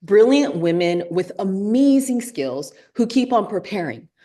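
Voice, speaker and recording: A woman co-hosting a live webinar, giving a motivational talk and slowly repeating key lines for emphasis. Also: medium pitch, sing-song, warm tone. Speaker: webinar co-host.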